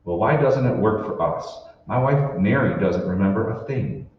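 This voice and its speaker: Heard from far off in a very echoey room, a low male voice swings widely in pitch. It is an actor as a bewildered, skeptical ordinary husband in a radio play, full of puzzled questions. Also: animated, normal speed, natural voice. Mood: puzzled, wary. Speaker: amateur stage actor. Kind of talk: old-time radio drama acting. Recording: roomy, distant, dull hall recording with hiss.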